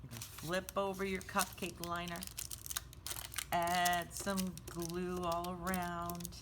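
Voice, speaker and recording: A woman's voice: fairly low pitch, little pitch movement, slow, noisy background. A woman hosting a children's storytime craft, explaining each step in simple, sing-song, child-directed language.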